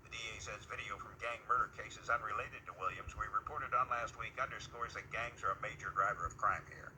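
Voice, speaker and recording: Bright-voiced male TV news reporter. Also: fairly low pitch, fairly even intonation, a little hiss or hum, poor audio. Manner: measured, formal newsreader delivery.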